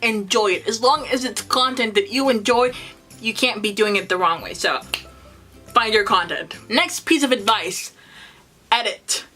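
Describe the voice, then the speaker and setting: Bright-voiced woman with a Spanish accent. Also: fairly high pitch, a little slow, faint music behind. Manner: earnest, encouraging, emphatic. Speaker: booktuber. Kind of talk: advice vlog to camera.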